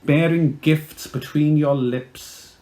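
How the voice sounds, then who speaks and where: A man with a Welsh accent reciting poetry in a deliberate, theatrical manner. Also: a little high, fairly even intonation, warm tone. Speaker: poet.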